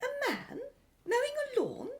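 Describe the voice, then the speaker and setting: A very high, swooping woman's voice acted by a woman, unhurried and exclamatory. She is a plucky, playful explorer from an old-fashioned radio adventure, full of jolly period turns of phrase. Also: huge pitch swings, exaggerated voice. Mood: amazed. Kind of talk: radio adventure drama acting.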